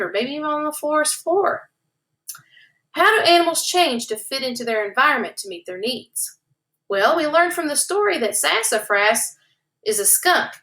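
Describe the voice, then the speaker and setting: A female teacher guides children through a picture-book lesson. Her voice sits fairly high and swings in a bright, sing-song melody as she asks questions. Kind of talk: read-aloud storytelling lesson.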